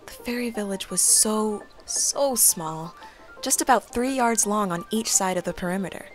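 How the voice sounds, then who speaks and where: A wry, slightly awkward heroine played by a woman in an audio drama, with a medium-pitched, casual voice. Faint music sits behind her. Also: normal speed, close to an everyday voice. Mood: wry narration. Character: awkward, sarcastic girl, reluctant dream apprentice. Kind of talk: audio drama acting and narration.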